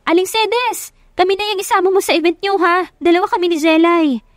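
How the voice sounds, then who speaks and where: A woman's voice: extremely high pitch, fairly quick, no room sound, clean studio sound, voice pushed up. A woman voicing a teasing, chatty young best friend with a playful, slangy, bantering manner in an audio love story. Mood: excited.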